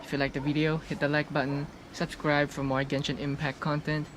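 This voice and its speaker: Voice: mid-range voice, level tone, a little fast, background noise. A gaming streamer reacting casually to gameplay, repeating phrases and drawing out exclamations.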